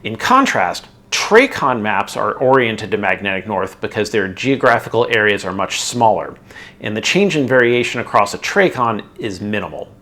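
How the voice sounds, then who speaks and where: A man narrates a scripted lesson as an instructor would, moving methodically from point to point. His intonation is animated, rising and falling widely, and he puts firm emphasis on words that turn the explanation. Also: fairly low pitch, moderate pace. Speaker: instructor, video host.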